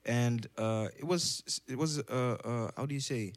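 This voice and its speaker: A young man with a Dutch accent, speaking English as his second language as a podcast guest. He talks in a thoughtful, unhurried way, full of fillers and restarts as he gropes for the right words. His voice sits at an ordinary middle pitch. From Netherlands. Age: young adult. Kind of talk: casual conversation.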